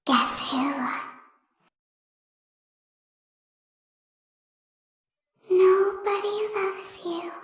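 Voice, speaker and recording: A woman voicing a creepy childlike animatronic girl in a video game, playful and sinister, with slow sing-song taunts. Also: very high-pitched, full character voice. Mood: taunting. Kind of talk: video game character voice lines. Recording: echoey, with music behind.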